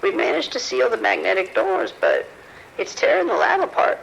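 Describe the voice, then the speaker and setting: A man's voice: high voice, huge pitch swings, moderate pace, vocal fry, a bit bright, slight background noise, exaggerated voice, rough recording. A man playing a worried, apologetic scientist in an audio drama, speaking carefully and formally with hesitations. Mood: anxious, apologetic. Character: apologetic, worried research scientist reporting trouble, male. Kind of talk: audio drama phone dialogue.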